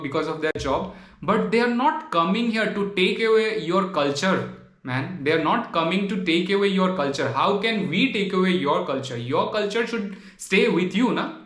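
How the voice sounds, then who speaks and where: A man's voice: sits high, a bit bright, echoey room. A man from Northeast India whose first language is Bengali, making an earnest, pleading vlog monologue and often ending lines with "man". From Tripura, Northeast India. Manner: earnest, pleading, repeats phrases and says "man". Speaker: vlogger. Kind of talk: impassioned direct-to-camera monologue.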